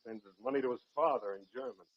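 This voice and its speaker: A man's voice: medium pitch, rapid-fire, close to an everyday voice. An actor playing a blunt, stubborn American man who narrates and acts in an old-time radio jungle adventure. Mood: defensive. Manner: blunt, irritable. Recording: dull lo-fi vintage radio recording.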